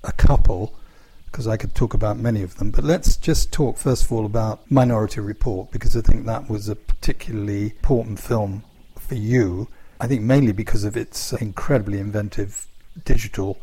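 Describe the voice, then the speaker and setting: A man's voice: on the low side, warm tone, breathy edge. A man interviewing a guest, asking long rambling questions with frequent hesitations and restarts.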